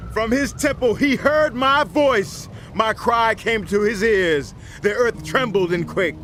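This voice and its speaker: Slow, reverent warrior king. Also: high voice, bright, forceful tone, slight background noise, exaggerated voice. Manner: measured, devout, poetic declamation. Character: reverent warrior king singing praise to God. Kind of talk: dramatized scripture recitation.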